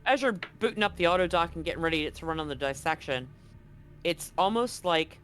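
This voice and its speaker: A man narrating a tabletop roleplaying game as game master, casually and somewhat haltingly, feeling for his words. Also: fairly high pitch, animated, a bit bright.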